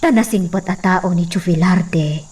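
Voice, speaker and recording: An earnest household servant in a radio drama. Her voice is on the low side and she speaks with deference, carefully laying out what she knows. The recording sits away from the mic, with insects behind. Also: a little slow, a little mellow, husky, recorded from a distance. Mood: earnest, confiding. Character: respectful woman servant of a mansion, earnest confidante. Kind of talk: Ilocano radio drama acting.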